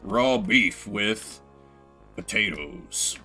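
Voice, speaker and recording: A man with a bright, forward voice and very animated pitch swings commentates on a game as he plays. He reads on-screen text theatrically and drifts into sardonic, rambling asides, with faint music underneath. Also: extremely slow. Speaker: game streamer. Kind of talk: solo-voiced let's play commentary, reading game text aloud dramatically.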